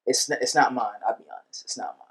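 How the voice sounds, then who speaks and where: A man talking as a musician guest on a podcast, telling stories in a relaxed, slangy way. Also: medium pitch, quick pace. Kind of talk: casual podcast chat.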